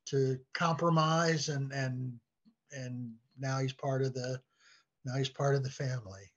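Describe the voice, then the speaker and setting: A man chatting casually as a podcast guest, halting and often repeating his words. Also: mid-range voice, steady tone. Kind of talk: casual chat. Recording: dull, lo-fi audio.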